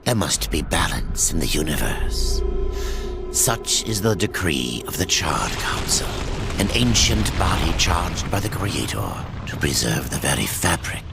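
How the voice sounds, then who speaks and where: A man's voice: deep voice, very animated, unhurried, gravelly, exaggerated voice. A man playing an ancient, bitter, tormented keeper of secrets in a fantasy game, speaking with grave theatrical menace. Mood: grave, ominous. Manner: grave, theatrical, ominous delivery. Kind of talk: dramatic fantasy game narration and acting. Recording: loud music bed behind the voice.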